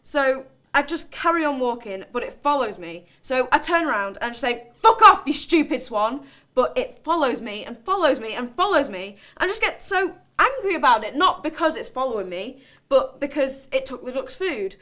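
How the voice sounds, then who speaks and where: A woman's voice: sits high, sing-song, normal speed, pushed and bright, heavily acted. A woman playing a volatile, defensive character in a dramatic monologue, blunt and colloquial. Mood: angry, defiant. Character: volatile, defensive, sweary woman recounting her outburst. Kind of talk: dramatic monologue, conversational storytelling.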